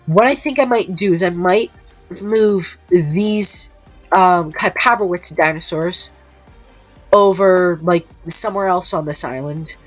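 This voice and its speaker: A woman's voice: lowish voice, slow, poor audio. A woman with a stuffy, slightly nasal voice gives hesitant, casual commentary over her gameplay, with many ums. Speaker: gameplay video creator. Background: faint background music.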